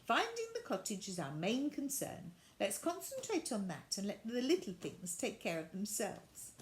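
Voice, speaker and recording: A woman's voice: mid-range voice, huge pitch swings, moderate pace. A woman voicing a practical, determined nanny in a children's storybook, sounding reassuring and decisive.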